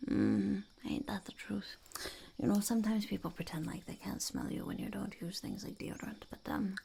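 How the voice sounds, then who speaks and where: A woman voicing a blunt, smug female goblin entrepreneur in an unhurried, breathy, gravelly half-whisper for an ASMR roleplay. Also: medium pitch, very animated, mellow. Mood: smug, matter-of-fact. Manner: speaks of herself in third person, unhurried, blunt. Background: crinkling wrappers and clicks.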